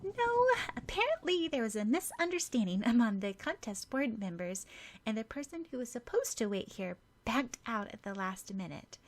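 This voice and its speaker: A woman's voice: on the high side, very animated, normal speed, voice pushed up. A woman voicing a helpful, reassuring teenage girl in an audiobook story. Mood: explaining.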